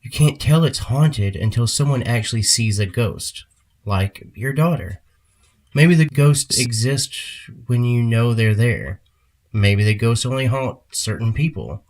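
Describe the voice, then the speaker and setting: A man's voice: medium pitch, animated, a little slow, a little mellow. A man playing a stern, commanding boss in an audio drama. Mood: insistent. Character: stern male boss ordering a scientist around.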